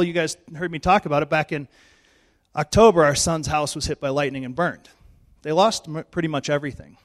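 Hoarse man preaching a chatty sermon. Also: fairly high pitch. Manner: chatty, self-joking, conversational. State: hoarse, losing his voice. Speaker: pastor. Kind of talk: informal sermon. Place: church. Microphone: handheld microphone.

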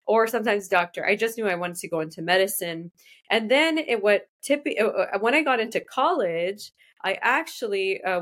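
A woman guest from Iraq, a dentist, talking casually in an interview and often restarting her sentences. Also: medium pitch. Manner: fast, restarting, self-correcting speech.

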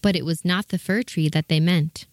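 A woman reading a scripted children's story as narrator, in a measured, expressive manner. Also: on the low side, no room sound, clean studio sound.

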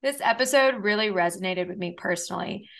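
Woman from New Orleans, fast and bright scripted intro read, upbeat. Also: medium pitch, creaky. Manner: upbeat, polished delivery. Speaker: podcast host.

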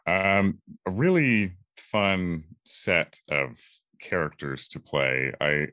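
Man, 20s, Californian: deep bass register, unhurried, thoughtful guest reflecting haltingly in an interview. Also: a bit bright, no room sound, studio quality. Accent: American, Californian. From California, USA.

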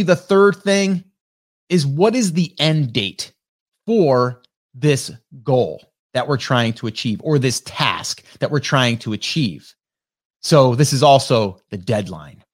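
A man's voice: medium pitch, sing-song, slow. A man in his forties giving a casual motivational talk as a marketing coach, often checking in with his listeners with "okay?" and "right?". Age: 40s.

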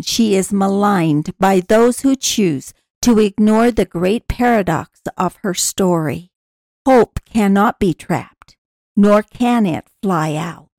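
A woman's voice: mid-range voice, a little mellow, no echo. A woman reading a scripted personal essay aloud as a podcast narrator. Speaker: podcast announcer and reader.

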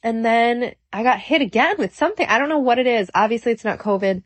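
A woman's voice: fairly high pitch, lively intonation, fast talker, no echo. Congested woman podcast host, dry sound. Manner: earnest, upbeat, rambling with fillers. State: congested from a cold. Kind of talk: solo podcast talk.

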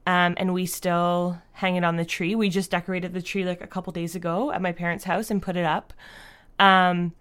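A woman answering interview questions as a guest in a casual, thoughtful and halting way, often saying "like". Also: fairly low pitch. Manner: thoughtful, halting, frequent "like" as a verbal habit. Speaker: podcast creator and writer, interview guest.

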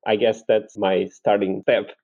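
A man's voice: lowish voice, little pitch movement, measured pace, gravelly, darkish tone, slightly rough, highs cut off. A man in his forties from Portugal with a Portuguese accent, telling personal stories in a reflective, conversational way as an interview guest. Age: 40s.

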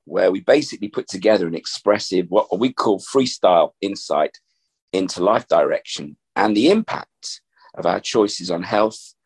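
A middle-aged man hosting a podcast with a gravelly voice, talking in a thoughtful, rambling way from an upstairs room at home. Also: on the low side, low-quality recording. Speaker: podcast host. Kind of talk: casual reflective chat.

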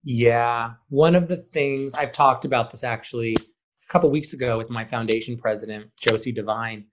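A man who teaches and directs theater, answering interview questions in an expressive, emphatic, conversational way. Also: medium pitch, moderate pace, background noise.

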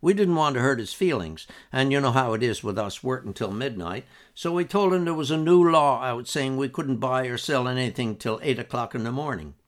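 A man voicing a relaxed, chatty restaurant owner. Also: mid-range voice, a little fast, hardly any pauses, close to an everyday voice. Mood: amused. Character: easygoing man who runs a waterfront restaurant.

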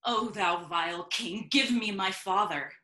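A woman's voice: medium pitch, a little slow, pushed and bright, roomy sound. A woman playing a hot-tempered, grieving young nobleman in a Shakespearean audio drama, declaiming vengefully. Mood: furious.

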